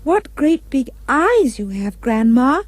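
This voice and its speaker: Young girl, acted by a woman: raised pitch, innocent, bold underneath, animated puppet-show delivery. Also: takes it slowly, no echo, exaggerated voice. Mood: innocent, marvelling. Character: sweet, innocent-sounding little girl, quietly bold. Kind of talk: storybook puppet-show voice acting.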